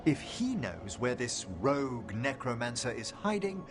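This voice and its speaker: Game role: scholarly necromancer gentleman, formal and earnest, very varied intonation, music underneath. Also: mid-range voice, big pitch swings, a little slow. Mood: earnest, grave. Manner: formal, eloquent, careful wording. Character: refined, earnest scholarly male necromancer professor. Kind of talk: video game dialogue acting. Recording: music behind the voice.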